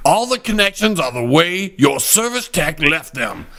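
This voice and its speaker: Man acting as cranky, bright-voiced customer Shady. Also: a little high, very animated, measured pace, creaky, heavily acted. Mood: exasperated. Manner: exasperated, blunt, cursing complainer. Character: irritable, cranky cable customer named Shady, quick to curse. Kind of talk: comedy sketch phone-call acting.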